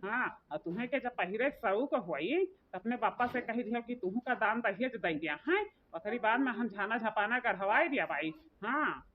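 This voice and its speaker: A woman plays a scolding village mother-in-law in a cartoon comedy. Her voice is on the high side and domineering, with rural speech. The sound is dull, with clear noise behind it. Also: animated, normal speed, lightly acted. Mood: bossy. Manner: nagging, domineering. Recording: muffled audio with clear background noise.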